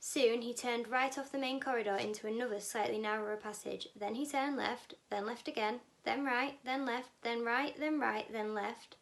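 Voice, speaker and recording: Woman, scripted children's story narration, fairly high pitch, noticeable vocal fry. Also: steady tone, normal speed, few pauses, fairly bright tone. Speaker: storybook reader, teaching assistant.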